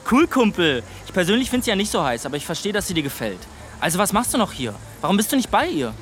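A man's voice: high voice, very animated, fast, fairly bright tone, faint music behind. An actor playing a brash, crude, swaggering teenage boy in a radio drama, with slangy, teasing speech. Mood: goading. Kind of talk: colloquial radio drama dialogue.

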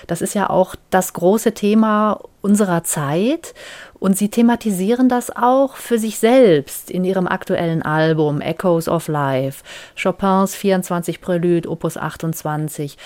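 A woman presents music on the radio and interviews a guest. She speaks in a measured, polished way. Her voice sits at a middle pitch. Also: darkish tone.

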